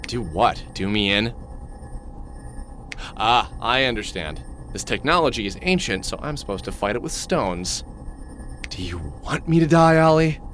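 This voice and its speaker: A man's voice: mid-range voice, animated, measured pace, pauses often, fairly bright tone. A man playing a sarcastic, nervous middle-aged thief in a video game, exasperated and full of grudging bravado. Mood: sarcastic, indignant. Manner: sardonic, exasperated. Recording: music behind the voice.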